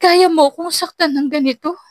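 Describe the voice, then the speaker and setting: Woman acting a high-pitched furious, heartbroken young woman. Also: steady tone, moderate pace, dry recording, studio quality, lightly acted. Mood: furious, wounded. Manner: shouting, accusing, anguished.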